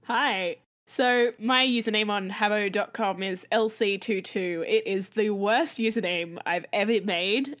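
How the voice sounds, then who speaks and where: A woman's voice: medium pitch, a bit bright. A young woman from Australia, guest on a podcast, chatting casually and poking fun at herself. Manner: self-deprecating, laughs often. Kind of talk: casual interview chat.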